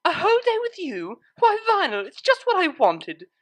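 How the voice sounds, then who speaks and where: A woman voicing a refined young mare cellist in a story, prim and shy, with a gently teasing affection. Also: extremely high pitch, huge pitch swings, normal speed, pitched above the performer's own voice, full character voice. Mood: mock excitement.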